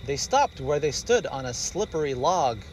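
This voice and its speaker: A man reading a rhyming children's story aloud in an expressive storyteller's style. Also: fairly high pitch, moderate pace, slight background noise.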